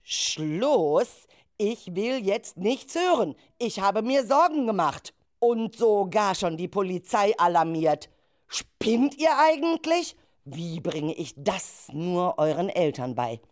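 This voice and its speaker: A woman's voice: medium pitch, sing-song, takes it slowly. A woman playing a worried, stern children's group leader in a children's radio play, with emphatic, exasperated delivery. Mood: angry, worried.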